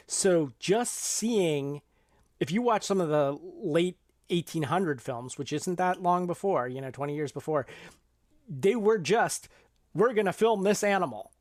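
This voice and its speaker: A man with a fairly high voice and a close, dry sound talks as a podcast co-host. He explains things in a casual, conversational way, regularly checking in with the listener as he builds a point. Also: expressive, unhurried, no echo. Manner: explanatory, checks in with "right?" and "you know?".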